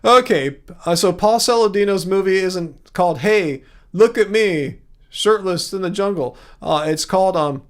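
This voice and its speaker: A man's voice: high-pitched, normal speed. A man with a Californian American accent hosting a casual livestream, rambling and easygoing as he replies to viewers. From California, United States. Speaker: livestream host. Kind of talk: casual livestream chat, replying to viewer comments.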